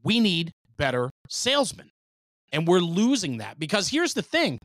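A man's voice: high voice, sing-song, normal speed, fairly bright tone, no room sound, studio quality. A man in a conversational podcast discussion, making his points emphatically and persuasively. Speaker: podcast speaker.

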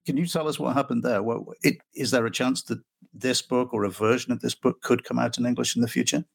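A man's voice: mid-range voice, fairly even intonation, fast talker, vocal fry, breathy edge. A man hosting a podcast and interviewing book authors in a curious, conversational way. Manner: inquisitive, conversational.

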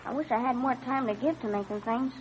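A woman's voice: fairly high pitch, fast, lightly acted. A woman playing an eager, polite farm boy in an old-time radio drama. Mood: eager, earnest. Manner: earnest, polite. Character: eager, bright farm boy who loves figuring. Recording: dull vintage radio recording.

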